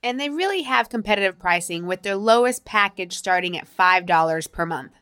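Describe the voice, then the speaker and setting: A woman's voice: medium pitch, lively intonation, a little fast, sharp tone, clean tone. A woman hosting a podcast, talking in a casual conversational style. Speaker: podcast host. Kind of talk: casual chat and interviewing.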